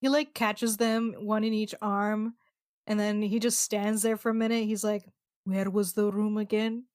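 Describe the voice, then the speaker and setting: A woman's voice: medium pitch, flat delivery, measured pace, darkish tone. A woman casually narrating her character's actions as a player in a tabletop game.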